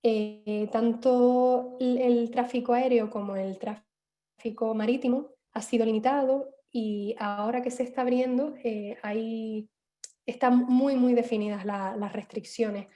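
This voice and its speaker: A woman's voice: a little high, fairly even intonation, unhurried, dark tone, lo-fi sound. A woman giving a panel talk on a video call, hesitant, with many fillers and restarts. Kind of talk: online seminar talk.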